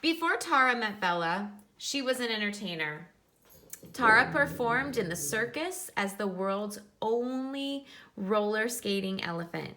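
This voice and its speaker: A woman's voice: a little high, lively intonation, a little slow, pushed and bright, rough recording. A woman teacher reading a nonfiction book aloud for children, instructive and explaining things in simple terms.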